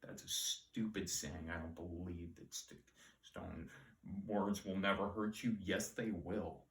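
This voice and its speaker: A man teaching word origins in a relaxed, rambling, self-deprecating way. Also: sits low, slow pace, distant mic. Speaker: Latin etymology teacher. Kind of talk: casual solo etymology lesson. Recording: echoey room, voice off-mic.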